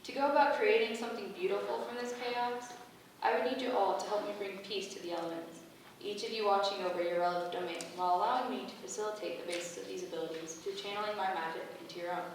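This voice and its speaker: A woman's voice: mid-range voice, steady tone, fast, lightly acted. A woman playing a benevolent, motherly goddess with formal, ceremonial oratory in a staged play reading. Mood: grand. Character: benevolent motherly female goddess, grand and solemn, gathering her heralds. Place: echoey indoor venue at a staged reading. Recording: very echoey room from far off, faint noise.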